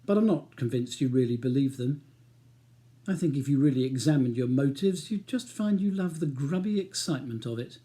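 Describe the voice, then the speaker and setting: A man's voice: medium pitch, expressive, fairly quick, warm tone, natural voice. A man reading an audiobook, voicing a cold, scornful upper-class wife who speaks in a beautifully cutting way. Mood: critical. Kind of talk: audiobook character dialogue.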